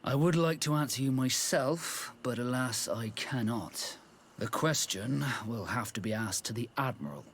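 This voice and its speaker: An actor playing a wary, plain-spoken adventuring companion in a video game, with a husky voice. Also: male voice, mid-range voice, measured pace, fairly bright tone, slight background noise. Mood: wary, pragmatic. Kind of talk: video game cutscene acting.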